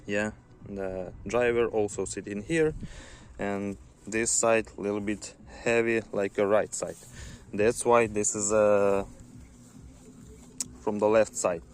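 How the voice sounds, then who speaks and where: A man from Ukraine with a Ukrainian accent, explaining car parts step by step in halting, non-native English, in a casual how-to style. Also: on the low side, steady tone. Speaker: car hobbyist showing parts. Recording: loud background noise.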